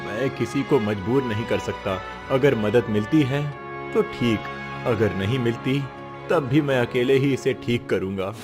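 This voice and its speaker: A man's voice: medium pitch, measured pace, close to an everyday voice. A man playing a poor, proud village labourer father, tender and resolute, in an earnest moral-story style. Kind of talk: Hindi moral story voice acting. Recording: music behind the voice.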